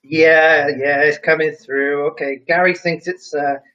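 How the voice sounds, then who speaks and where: A high-pitched, chatty man whose faint Welsh lilt is blurred by years of English living hosts a relaxed live stream, reading viewer comments aloud and teasing them with quiz questions. The audio is dull and lo-fi. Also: unhurried. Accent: faint Welsh mixed with English. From Wales. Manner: chatty and jokey, quizzing viewers.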